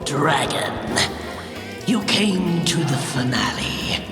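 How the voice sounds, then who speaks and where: An actor voicing a villainous harlequin clown in a noir radio play, grandiose, gleefully menacing and taunting, with a creaky, drawn-out delivery. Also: mid-range voice, expressive. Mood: taunting menace. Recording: echoey hall reverb. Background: music.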